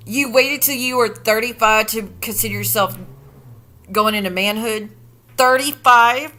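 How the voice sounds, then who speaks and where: A woman's voice: on the high side, unhurried, pushed and bright. A woman streaming reaction commentary, sarcastic, profane and incredulous.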